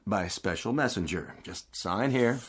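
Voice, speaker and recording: A man's voice: lowish voice, expressive, measured pace, a bit bright, slight background noise. An actor playing a polite, businesslike male insurance adjuster in a radio drama. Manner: courteous, businesslike. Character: polite, smooth-talking insurance claims adjuster.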